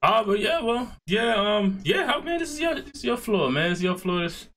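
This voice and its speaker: A man's voice: high-pitched, sing-song, normal speed, fairly bright tone. A man hosting a casual interview, laid-back and encouraging, talking through an earbud headset on a video call. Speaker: host. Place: small room indoors.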